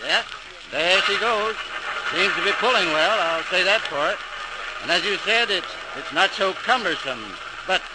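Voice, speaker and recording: Brusque skeptical official, noisy radio play. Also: male voice, high voice, unhurried. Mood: grudging. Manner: blunt, dismissive, clipped. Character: Mr. Wyatt, brusque, skeptical male canal official. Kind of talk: 1940s radio drama acting. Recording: noisy old-time radio recording.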